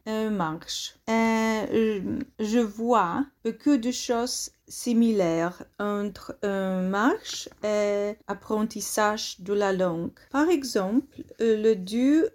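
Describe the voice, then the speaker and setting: A woman with a Polish accent, a guest answering interview questions in careful learner French, pausing often to find her words. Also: mid-range voice, a little mellow. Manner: slow, halting, many hesitations and searched-for words. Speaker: guest, walking language course founder.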